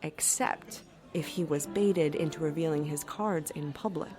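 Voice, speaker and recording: Low-voiced wry woman narrating. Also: a little slow, a little mellow, faint music behind. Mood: wry, scheming. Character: shrewd, sardonic woman confiding her scheme. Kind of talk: first-person audio drama narration.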